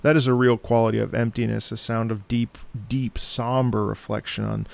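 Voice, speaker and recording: A man's voice: mid-range voice, little pitch movement, normal speed, creaky, a little mellow. A man giving reflective reaction commentary on music, musing and searching for his words as he goes.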